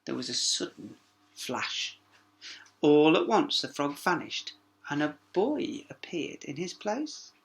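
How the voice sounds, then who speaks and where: A man's voice: on the high side, very animated, slow. A man narrating a children's story expressively as a teacher, with small asides to young listeners.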